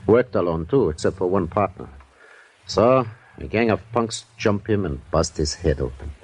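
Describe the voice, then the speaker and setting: An actor with an American accent playing a weary, cynical yet sentimental police lieutenant in a 1950s radio drama, sardonic and plain-spoken. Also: male voice, low voice, animated, normal speed, slightly rough, heavily acted, low-quality recording. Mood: weary, somber. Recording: old radio recording with faint noise.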